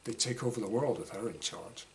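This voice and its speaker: A man reading his own first-person short story dramatically, in clipped diary-like phrases with pauses. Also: fairly low pitch. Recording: clearly noisy room ambience.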